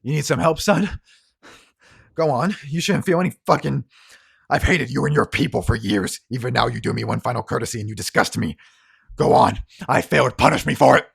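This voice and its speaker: Tabletop RPG admiral, played by a man: dying, defiant, commanding, rough-voiced, profane and full of contempt. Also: mid-range voice, fairly quick, voice pushed up, exaggerated voice. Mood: bitter, goading. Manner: forceful, profane, spitting contempt.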